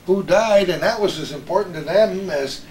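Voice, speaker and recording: A man's voice: high-pitched, moderate pace, darkish tone. An older American man hosting a chat and telling anecdotes about his radio days with old colleagues. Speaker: host, veteran radio broadcaster. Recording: roomy echo with some background noise.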